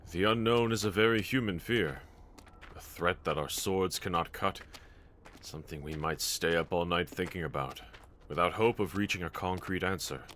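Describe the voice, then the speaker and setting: A man performs a gallant young demon slayer with a deep, bright-edged voice. His delivery is earnest and formal yet tender, with expressive rises and falls as he comforts a companion in an anime audio roleplay. Background ambience is clearly audible. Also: slight background noise. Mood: calm, reassuring. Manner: gentle, reassuring, earnest, formal phrasing. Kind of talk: anime audio roleplay acting, speaking to the listener.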